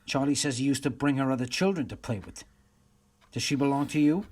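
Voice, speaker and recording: A man playing a steady police detective in a radio play, firm and questioning. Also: medium pitch, fairly quick, lightly acted. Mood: probing.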